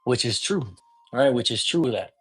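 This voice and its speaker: A man talks through spiritual practice in a loose, conversational teaching style. He drops Spanish words into his English and keeps checking in with "you get me?" His pitch is in the ordinary middle range. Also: expressive, normal speed, fairly bright tone, husky. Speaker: priest teaching divination. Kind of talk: casual teaching talk.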